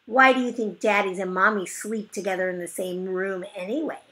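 A woman's voice: medium pitch, steady tone, brisk, a bit bright, lightly acted. A woman voicing a smug little boy who teases and tries to scare a classmate in a know-it-all way. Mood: teasing.

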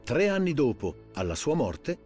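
Expressive male documentary narrator. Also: medium pitch, a little slow, over music.

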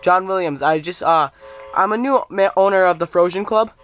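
A man's voice: sits high, moderate pace, fairly bright tone, faint music behind. A teenage boy in his bedroom, stumbling and giggly as he talks to his camera and makes a prank phone call. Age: teens. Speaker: prank-call video maker. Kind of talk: casual vlog and prank call. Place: small bedroom, indoors. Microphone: laptop webcam close up.

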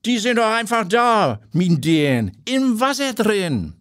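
A gossiping older woman, as a male narrator puts her on. She talks in a high, drawn-out way with a husky edge. The recording is dry and close. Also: big pitch swings, fairly bright tone, dry recording, full character voice. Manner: chatty, drawling.

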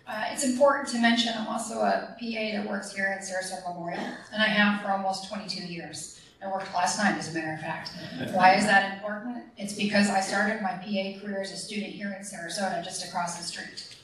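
A woman's voice: mid-range voice, fairly even intonation, a little fast, fairly bright tone, slight background noise. A woman who directs clinical education, speaking in a friendly, conversational way at a public board meeting. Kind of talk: public remarks. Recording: echoey meeting room from far off.

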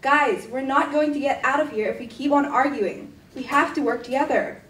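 A firm, reasonable young girl played by a teenage student in a school radio drama. Her high voice is earnest, coming from a little way off the mic in a reverberant hall. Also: moderate pace, fairly bright tone. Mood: firm, exasperated. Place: indoor theatre stage. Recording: echoey stage hall, distant microphone.

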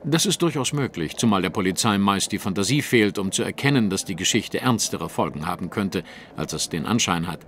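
A man's voice: low voice, lively intonation, brisk, fairly bright tone, a little hiss or hum. An actor playing a shrewd, haughty male detective in an audio drama, speaking in a precise, formal, lecturing way. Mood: analytical. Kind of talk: German audio drama acting.

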